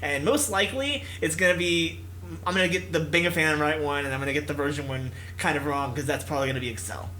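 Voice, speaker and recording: A man's voice: on the high side, fast, bright, forceful tone, slight background noise, rough recording. A man hosting a gaming video, reading narration expressively and mixing in casual commentary.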